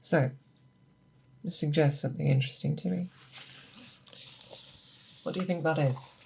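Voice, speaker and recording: A performer in an ASMR roleplay playing a patient, methodical monster hunter who mentors an apprentice, speaking deliberately and coaxing with questions. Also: medium pitch, vocal fry, a little hiss or hum. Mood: calm, focused. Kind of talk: ASMR roleplay, scripted character monologue.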